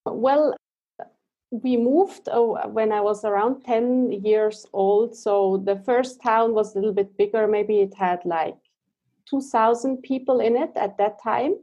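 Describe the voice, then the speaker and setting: A woman's voice: on the high side, unhurried, dark tone. A woman in her thirties from Switzerland with a Swiss accent, a guest in a casual interview who speaks hesitantly while searching for English words.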